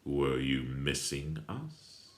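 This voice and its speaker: A crooked policeman from a children's storybook, acted out by a male reader, low in pitch and menacing. He snarls and sneers like a bully, in a roomy space with audible echo. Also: sing-song, very slow, slight background noise, full character voice.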